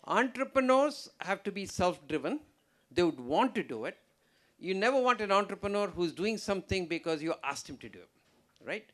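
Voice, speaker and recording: A man answering an audience question on a conference panel, explaining in an emphatic, animated way. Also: high-pitched, normal speed. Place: conference stage.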